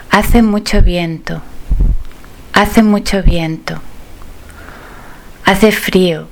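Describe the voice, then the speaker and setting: Woman, language teacher, phrase drill, very slow, clear enunciation, lowish voice. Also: slight background noise, poor audio. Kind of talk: slow phrase drill, each line said twice.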